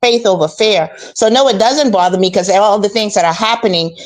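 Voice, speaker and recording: Calm preachy woman from the Bahamas. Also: medium pitch, a little fast. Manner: calm, preachy, repeats herself. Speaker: guest commentator. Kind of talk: casual faith-based chat.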